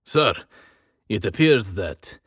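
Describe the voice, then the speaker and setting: A man's voice: fairly low pitch, expressive, slow, a bit bright, husky, no room sound, exaggerated voice. Audiobook general: male actor as menacing Russian officer, bitter, clipped, authoritative, very dry. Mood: uneasy.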